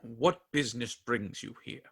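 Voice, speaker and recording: A man's voice: medium pitch, normal speed, breathy edge. A man acting a grumpy, sardonic old miser in a community radio play, with dry grumbling complaints.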